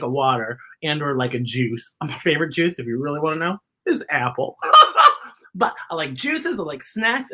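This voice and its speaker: Very animated, bright-voiced woman reading to kids. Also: on the low side. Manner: playful, theatrical, exclamatory. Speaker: children's story time host. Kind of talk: animated picture-book read-aloud with chatty asides to children.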